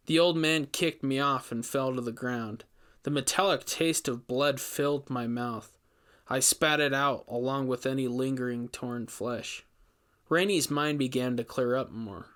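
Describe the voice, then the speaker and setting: A man's voice: mid-range voice, fairly even intonation, measured pace, a bit bright. Male storyteller reading a horror tale. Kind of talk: scripted first-person horror narration.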